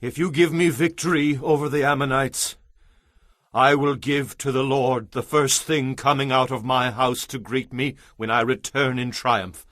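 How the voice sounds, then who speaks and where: An actor playing an indignant warrior commander in an audio Bible drama, declaiming forcefully. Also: male voice, medium pitch, fairly even intonation, unhurried, dry recording, studio quality, close to an everyday voice. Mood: solemn vow. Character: indignant warrior commander arguing his people's case.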